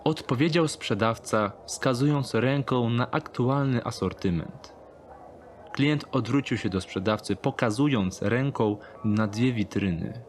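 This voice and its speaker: A man reading scripted third-person narration for a dark thriller audiobook. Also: medium pitch, a little slow, a little hiss or hum.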